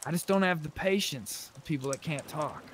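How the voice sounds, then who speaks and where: A man's voice: on the high side, a little fast, noisy background. A man chatting casually in video game roleplay, swearing freely with mock indignation. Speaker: gamer, roleplay streamer.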